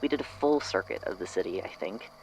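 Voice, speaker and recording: A man's voice: sits low, animated, fairly quick, vocal fry, a little mellow, a little hiss or hum, low-quality recording. A man acting as an unsettled narrator in an audio drama, hesitantly and reflectively recounting an eerie memory. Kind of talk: audio drama statement, hesitant first-person storytelling.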